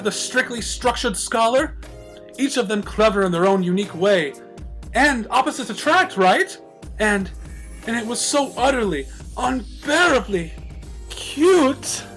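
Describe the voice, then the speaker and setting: A man's voice: extremely high pitch, expressive, unhurried, a bit bright, soft background music, pitched above the performer's own voice, heavily acted. A man voicing a melodramatic, romantic, refined female unicorn in a theatrical, swooning manner. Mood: scandalized delight.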